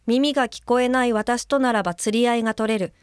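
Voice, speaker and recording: An actress playing a polite, conscientious young female guide-helper in a radio drama, reading a letter aloud in a soft, measured voice. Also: a little high, clear, resonant tone, no echo, studio quality, natural voice. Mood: earnest. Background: music under the voice.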